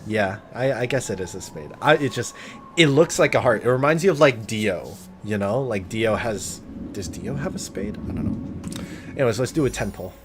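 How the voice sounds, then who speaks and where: A man's voice: on the low side, expressive, normal speed, background noise. A man giving casual, joking, sweary live commentary on a game. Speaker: game streamer. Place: live stream with faint game music behind.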